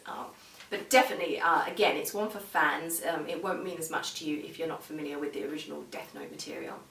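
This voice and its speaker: Female reviewer, measured and conversational, lowish pitch, recorded at a distance from the microphone. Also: a bit bright. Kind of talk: casual video review. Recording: echoey room, distant microphone.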